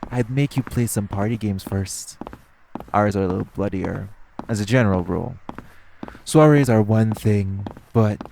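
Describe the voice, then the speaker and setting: Male actor as centuries-weathered male vampire, very low pitch, heavy vocal fry, cocky, teasing, flirtatious menace, intimate audio drama. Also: warm tone, slight background noise. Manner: smug, taunting, drawling, playfully menacing.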